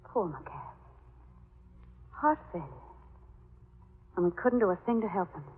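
An actress playing an elegant, arch and tender-hearted companion to a sleuth in an old-time radio drama. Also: female voice, lowish voice, animated, unhurried, slight background noise. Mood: alarmed, grieving. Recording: dull old radio recording.

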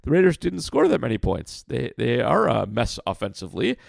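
A man hosting a casual podcast, answering listener questions in a blunt, frank way with lots of fillers; his voice sounds rough like sandpaper. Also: medium pitch, wildly swooping pitch, a little fast, no echo, studio quality. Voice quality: gravelly, like sandpaper. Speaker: podcast host.

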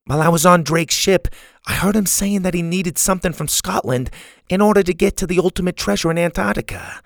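Man putting on a feisty old grandma, raised pitch. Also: vocal fry, dry recording, clean studio sound. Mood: purposeful. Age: older. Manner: brisk, bossy.

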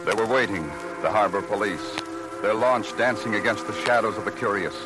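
A man's voice: on the low side, fairly quick, close to an everyday voice, rough recording. An actor playing a weary, brooding male police detective in mid-century radio noir style, with poetic delivery. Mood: brooding, dramatic. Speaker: lead actor and narrator. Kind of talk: 1950s radio noir acting. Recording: old radio drama with music behind.

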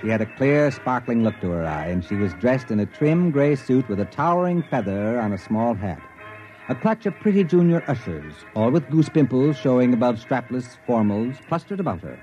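A man's voice: mid-range voice, big pitch swings, moderate pace, mellow, lightly acted. An actor playing a sardonic, impatient university administrator, telling his story with dry wit in classic radio drama style. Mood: wry narrating. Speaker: narrator and lead actor. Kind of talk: classic radio drama acting and first-person narration. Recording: muffled vintage radio recording with music.

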